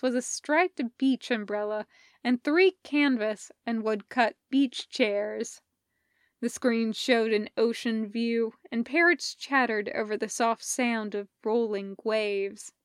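A woman reading scripted fiction as a narrator, measured and expressive in her storytelling. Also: fairly high pitch, smooth, no room sound, studio quality. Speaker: podfic narrator.

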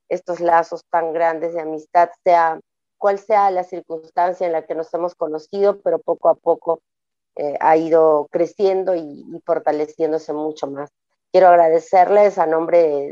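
A woman's voice: low-pitched, no room sound. A woman hosting a community radio interview program, speaking in a formal, ceremonial way. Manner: warm ceremonial toasting, formal phrasing. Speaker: radio host. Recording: dull lo-fi dry radio audio.

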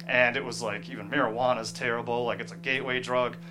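Halting man over guitar music. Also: mid-range voice, fairly even intonation. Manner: halting, searching for words. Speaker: screenwriter being interviewed. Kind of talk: casual, thoughtful interview talk.

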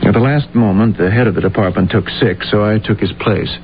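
A male actor as a middle-aged former clerk in an old radio drama. His lowish voice is earnest and a little defensive, with hesitations as he recounts events. The sound is muffled with faint noise. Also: fairly quick, lightly acted. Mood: uneasy recollection.

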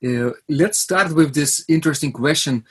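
A man hosting a podcast interview, asking questions hesitantly with many pauses and fillers. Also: fairly high pitch, a bit bright, breathy edge. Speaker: podcast host.

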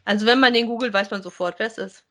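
A woman's voice: a little high, fast, a bit bright. A woman co-hosting a podcast, talking informally and conversationally. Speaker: podcast co-host. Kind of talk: casual chat about audio drama voice actors.

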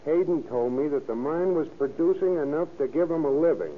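A man's voice: fairly high pitch, animated, fairly quick, natural voice. An actor playing a scheming American tough guy in an old radio crime drama, with a slangy, emphatic delivery. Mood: eager, insistent. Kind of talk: 1940s radio acting. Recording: scratchy, narrow-band old radio recording.

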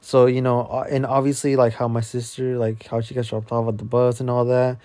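A man's voice: medium pitch, little pitch movement, a little fast, mellow, no echo. A man from Mexico hosting a podcast, chatting casually in a laid-back way full of fillers. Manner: laid-back, many fillers and repeated yeahs. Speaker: podcast host. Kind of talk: casual chat.

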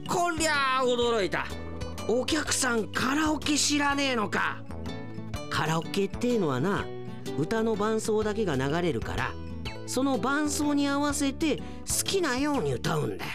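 Radio drama izakaya boss, played by a man: male, easygoing, encouraging, rough-spoken, high and animated. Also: measured pace, music in the background, exaggerated voice. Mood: surprised, explaining. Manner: rough, colloquial, chummy speech.

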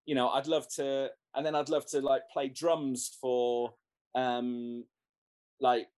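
A man's voice: medium pitch, flat delivery, takes it slowly, darkish tone. A man, a musician, talking casually in an interview, rambling with many restarts and fillers. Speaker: guest musician.

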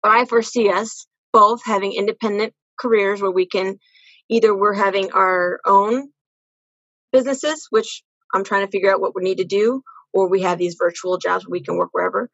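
A woman's voice: mid-range voice, steady tone, normal speed, a bit bright, dry recording, dull audio. A woman giving casual, earnest answers as a podcast guest, often repeating phrases for emphasis. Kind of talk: casual interview conversation.

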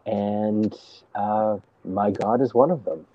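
A man telling stories as a guest, talking in a hesitant, halting way with many restarts, seated at home on a video call. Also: on the low side, muffled recording. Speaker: storyteller guest. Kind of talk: casual conversation.

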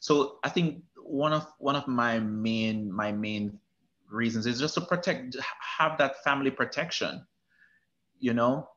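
A man's voice: medium pitch, a little slow, fairly bright tone, lo-fi sound. A man from Jamaica with a Jamaican accent, a guest talking casually in an interview, often restarting his sentences. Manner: hesitant, with many restarts and fillers. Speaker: guest, retirement specialist.

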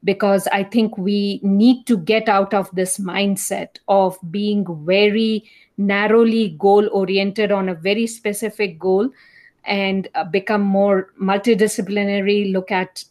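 A woman's voice: medium pitch, flat delivery, a little slow, a little mellow. A woman in her fifties from India with an Indian accent, speaking thoughtfully as an academic guest in an interview. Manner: thoughtful, reflective storytelling. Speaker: guest, associate professor. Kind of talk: conversational interview.

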